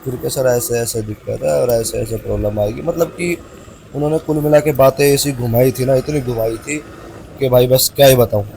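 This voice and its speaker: A man's voice: medium pitch, steady tone, a little fast, dark tone, low-quality recording. A man tells a story casually and colloquially, mixing in English words, as he vlogs from a motorbike. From Haryana, India. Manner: chatty, colloquial, slangy Hindi-English mix, addressing viewers as brother. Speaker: motovlogger. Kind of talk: casual vlog storytelling while riding a motorbike. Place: outdoors, riding a motorbike on the road. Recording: clear road and engine noise. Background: vehicle and outdoor ambience noise.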